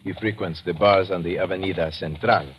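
An actor playing a polite, precise Panamanian police lieutenant in an old radio drama. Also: male voice, sits low, steady tone, fairly quick, slight background noise, lightly acted. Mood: polite, formal. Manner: formal, deferential, precise. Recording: muffled old radio recording, loud music.

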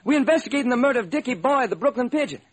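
A man's voice: extremely high pitch, lively intonation, very fast, breathy edge, heavily acted. A man playing an eager, plodding police lieutenant in an old-time radio crime drama. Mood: eager, businesslike.

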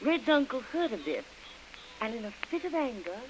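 An actress playing a composed, earnest plantation wife in 1930s radio style, speaking in measured phrases. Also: female voice, fairly high pitch, sing-song. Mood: grave, recounting. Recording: dull, noisy old radio recording.

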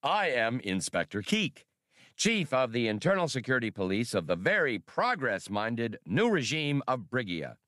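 A man's voice: mid-range voice, very animated, moderate pace, a bit bright, dry recording, clean studio sound, voice pushed up. A man voicing a tall alien police inspector in an audiobook, officious and pompous with forced heartiness. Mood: forced hearty. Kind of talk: audiobook character acting.

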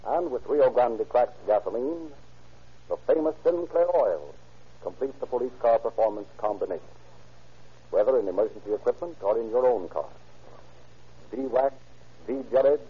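A male announcer reads sponsor copy in a formal, declamatory 1930s radio manner. His voice is slightly husky and mid-pitched, on a thin, phone-like old recording.

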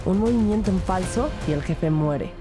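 An actress playing a police investigator who narrates her case in a grave, measured, scripted audio-drama style. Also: fairly low pitch, expressive, music in the background, close to an everyday voice. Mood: tense, suspenseful.

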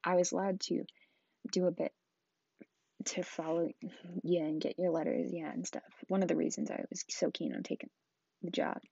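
A woman reading aloud, voicing a big gruff, kindly male giant groundskeeper with folksy, rough country speech. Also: fairly low pitch, little pitch movement, moderate pace, pauses often, warm tone, no room sound, dull audio. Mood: rueful.

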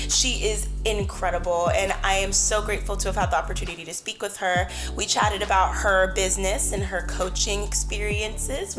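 A woman hosting a podcast, chatting casually and laughing often, her voice a little worn. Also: mid-range voice. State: losing her voice. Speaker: podcast host. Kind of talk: casual interview chat.

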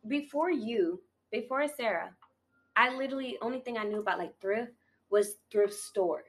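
A woman's voice: fairly high pitch, moderate pace. Gushing young woman podcast host. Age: 20s. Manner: chatty, gushing, lots of "like" and "oh my God". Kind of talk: casual podcast conversation.

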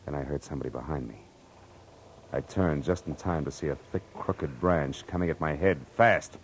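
A man's voice: a bass voice, normal speed. A man acting a terse, wry American private detective in a 1940s radio drama. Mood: grim, measured. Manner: terse, dry, wisecracking. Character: hard-boiled, wry, commanding male private detective. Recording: noisy old radio recording.

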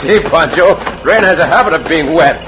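An actor playing a courteous, alert Mexican cowboy hero in an old-time radio western, with a Mexican accent and formal, precise phrasing. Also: male voice, fairly high pitch, expressive, fairly quick, natural voice. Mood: lively. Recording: muffled, very noisy vintage radio recording.

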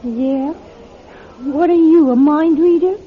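High-voiced, touchy young showgirl. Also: slow. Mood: puzzled, curious. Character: touchy young blonde go-go dancer, tearful and naive. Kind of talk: radio drama acting. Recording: dull lo-fi radio drama tape.

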